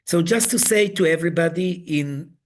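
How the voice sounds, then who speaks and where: A man leading an online coaching webinar, speaking in slow, halting phrases. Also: a little high, slight background noise. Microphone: video call.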